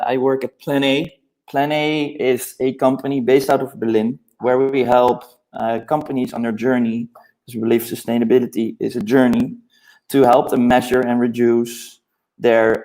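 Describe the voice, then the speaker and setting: A man from the Netherlands, a native Dutch speaker in his thirties, introducing himself and his company at a launch panel in a relaxed, friendly way. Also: medium pitch, rough recording.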